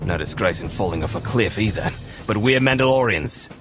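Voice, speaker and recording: An actor playing a boisterous, proud veteran warrior in a video game, gravelly and theatrical. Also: male voice, on the low side, lively intonation, lightly acted. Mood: gruff scorn. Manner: bombastic, theatrical, slow. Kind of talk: video game cutscene acting. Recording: voice over background music.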